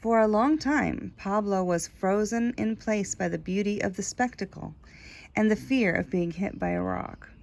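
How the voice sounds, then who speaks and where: Female storybook reader: expressive read-aloud delivery, mid pitch, creaky texture, lingers on key words. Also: normal speed, slight background noise. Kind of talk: expressive read-aloud narration of a children's book, with casual asides.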